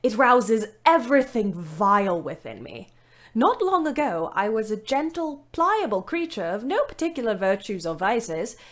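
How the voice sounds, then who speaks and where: An actress playing a weary, bitter young ambulance driver, narrating her wartime diary expressively in an audio play. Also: fairly high pitch. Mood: bitter, indignant. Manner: measured, literate, expressive. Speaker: narrator and lead character.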